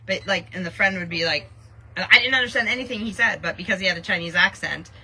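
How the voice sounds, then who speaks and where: A woman's voice: on the low side, quick pace, bright, forceful tone, breathy edge, poor audio. A Canadian woman with a Canadian accent, co-hosting a podcast and chatting in a rambling way full of fillers. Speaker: podcast co-host. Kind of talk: casual chat.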